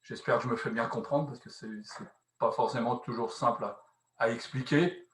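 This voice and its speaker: A man with a mid-pitched voice explains something as a conference speaker. He works through it haltingly, half improvised, rephrasing as he goes and adding small tag words. The sound is noticeably roomy and echoey. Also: a bit bright. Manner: halting, rephrases often, frequent "hein" tags. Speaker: conference speaker presenting a housing policy experiment. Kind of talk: explanatory presentation, semi-improvised.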